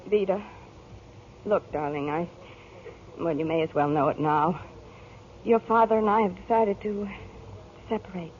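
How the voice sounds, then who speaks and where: An actress playing a devoted, long-suffering mother in a 1940s radio noir drama, speaking earnestly and sometimes haltingly. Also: lowish voice, darkish tone, natural voice. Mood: tender, uneasy. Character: hardworking middle-aged mother, devoted, long-suffering, recounting her troubles. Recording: muffled, noisy 1940s radio broadcast.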